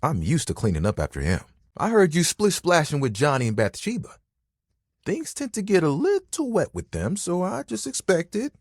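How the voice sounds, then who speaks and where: Casting: eager, self-conscious towel character for a dating game. Very animated pitch swings, slightly husky, casual rambling delivery. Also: male voice, normal speed, no room sound, clean studio sound, heavily acted. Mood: earnest, awkward. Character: talking bath towel, bashful, eager, laid-back young guy. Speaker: video game voice actor.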